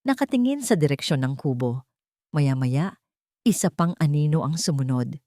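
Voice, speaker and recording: Filipino lady narrating a dramatic story, super animated, close dry sound. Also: low voice, moderate pace, dry recording. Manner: suspenseful, theatrical storytelling. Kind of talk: dramatic scripted narration of a Tagalog love drama.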